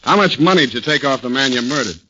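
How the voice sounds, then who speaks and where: Hard-nosed American cop, noisy old radio. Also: male voice, medium pitch, expressive, fast, bright, forceful tone. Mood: mocking, pressing. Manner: curt, mocking, accusatory. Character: sarcastic, hard-nosed police interrogator, adult man. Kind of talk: 1940s radio drama acting. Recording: noisy, dull 1940s radio recording.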